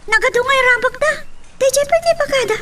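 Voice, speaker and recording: A woman's voice: very high voice, a little fast, bright, forceful tone, far from the mic. A woman with a Filipino accent playing a pert, wounded little girl who questions people boldly in an audio drama. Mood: nosy, playful. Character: sassy, wounded little girl questioning her mother and a stranger.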